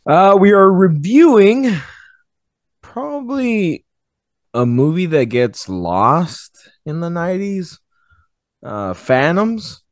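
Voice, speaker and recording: A man with a dry, close-recorded voice hosts a casual movie review podcast. His voice is a little hoarse from wear, and he talks loosely, with some swearing. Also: high-pitched, sing-song, takes it slowly, pauses often, no room sound. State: hoarse, voice partly gone. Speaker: podcast host.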